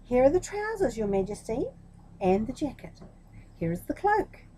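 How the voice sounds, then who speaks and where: Woman putting on a high-pitched fawning swindler tailor. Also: very animated, normal speed, dark tone, slight background noise. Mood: flattering. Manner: fawning, salesmanlike patter. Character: two sly flattering male swindlers posing as tailors.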